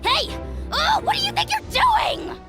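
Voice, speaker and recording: Bratty little creature. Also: female voice, very high voice, sing-song, unhurried, exaggerated voice. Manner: snippy, whiny, jumps between growls and squeals. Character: small rude creature, bossy and petulant. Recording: game audio with music.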